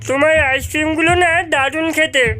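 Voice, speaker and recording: A woman's voice: sits high, steady tone, moderate pace, lightly acted. A woman voicing a bold, cheeky village boy in a cartoon, brash and exaggerated, with music behind. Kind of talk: cartoon voice acting.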